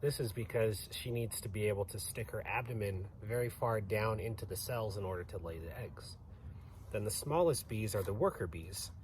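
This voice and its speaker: A man's voice: lowish voice, slight background noise. A man giving an informal educational explanation for children as a guest, going through things plainly and step by step.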